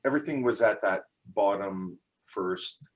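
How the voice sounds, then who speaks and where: A man's voice: fairly low pitch, unhurried. A man in his forties, from Canada, answering interview questions in a casual, hesitant way with many fillers and restarts, often laughing. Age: 40s. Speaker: guest.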